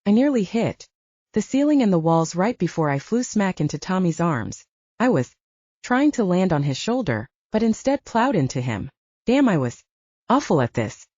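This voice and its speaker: A woman's voice: on the low side, big pitch swings, moderate pace, mellow, husky, no echo. A woman reading scripted first-person fiction as an audiobook narrator, on a slightly muffled recording.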